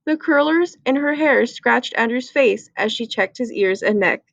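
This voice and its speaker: A woman's voice: a little high, lively intonation, normal speed, vocal fry, fairly bright tone, no room sound, muffled recording. A woman reading a children's book aloud as a storyteller.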